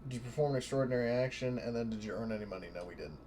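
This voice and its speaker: A man's voice: mid-range voice, very fast, darkish tone. A man talking casually and swearing now and then as a player in a tabletop roleplaying session. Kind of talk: casual game-session chat. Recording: clearly noisy background hiss.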